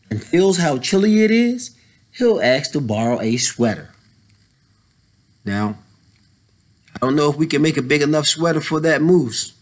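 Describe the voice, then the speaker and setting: A man in his thirties reading a children's picture book aloud, pausing to add casual explanatory asides for young listeners. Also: medium pitch. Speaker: story time reader, educator. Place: indoors, school library.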